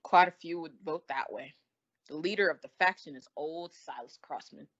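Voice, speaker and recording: A man's voice: fairly high pitch, normal speed, fairly bright tone. Man playing an earnest village sheriff, muffled. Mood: earnest, worried. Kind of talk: old-time radio mystery acting. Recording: dull lo-fi radio play recording.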